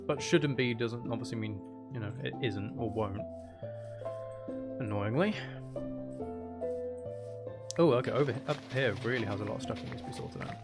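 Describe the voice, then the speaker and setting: A soft-spoken man chatting casually on a livestream as an ASMR creator, explaining things in a candid, aggrieved way. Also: mid-range voice, normal speed, pauses often. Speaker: ASMR content creator and streamer. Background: music in the background.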